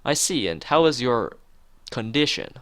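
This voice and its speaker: A man's voice: mid-range voice, sing-song, unhurried, fairly bright tone, dry recording. A man voicing a slightly scatterbrained, earnest male school teacher in a visual novel, questioning and rambling toward his point. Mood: probing, awkward.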